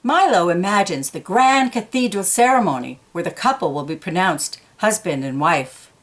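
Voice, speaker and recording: A woman's voice: on the low side, animated, normal speed. Woman reading a picture book aloud. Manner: explains pictures in informal asides between read lines. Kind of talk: read-aloud storytelling with conversational asides to children.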